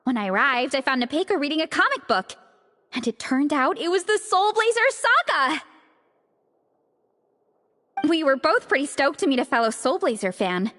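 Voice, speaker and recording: A woman voicing a cheerful, encouraging young comic fan in a video game, animated and upbeat. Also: high voice, moderate pace, long pauses, dry recording, long reverb tail, full character voice. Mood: excited reminiscing.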